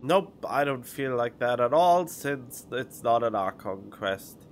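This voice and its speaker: A man giving casual live game commentary as a streamer, skeptical and halting, on a studio microphone. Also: medium pitch, lively intonation, slight background noise. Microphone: studio condenser microphone.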